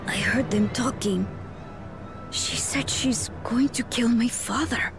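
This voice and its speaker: A shy young boy in a video game. The voice is high and slow, with simple, hesitant, earnest childlike phrasing over clear background music. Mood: wary.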